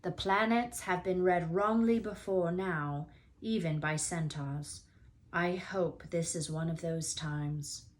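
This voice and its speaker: A woman voicing a grave, wise male centaur in a storybook, speaking in a solemn, deliberate way. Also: fairly low pitch, steady tone. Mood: quiet farewell.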